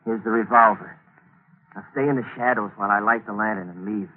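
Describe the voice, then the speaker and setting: An actor in an old-time radio thriller playing a cold, scheming murderer, terse and commanding. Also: male voice, on the low side, brisk, close to an everyday voice. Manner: terse, commanding, muttering to himself. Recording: noisy, tinny old radio broadcast recording.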